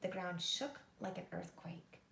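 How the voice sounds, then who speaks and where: A woman reading a storybook aloud to children with expressive narration. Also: lowish voice, measured pace, vocal fry. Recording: echoey room.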